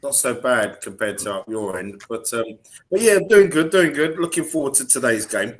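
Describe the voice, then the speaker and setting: A man's voice: mid-range voice, sing-song, moderate pace. A man co-hosting a football podcast, chatting casually in an easygoing, slightly hesitant conversational way. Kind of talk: casual chat.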